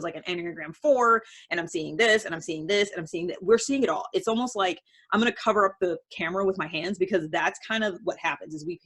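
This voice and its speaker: A woman vlogger explaining things casually and unscripted, in a rambling conversational way with many hedges. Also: fairly low pitch. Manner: conversational, rambling, hedging with "like" and "sort of". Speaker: video blogger on personality typing.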